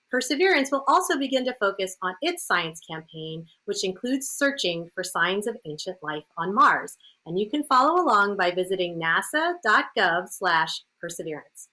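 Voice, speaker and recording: A woman's voice: on the high side, pushed and bright. A woman presenting scripted remarks as the host of a broadcast. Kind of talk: scripted presenting to a live-stream audience.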